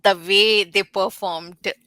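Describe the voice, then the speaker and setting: A woman's voice: medium pitch, steady tone, slow pace, pushed and bright, dry recording. A woman chatting casually and enthusiastically about books as a member of a discussion panel.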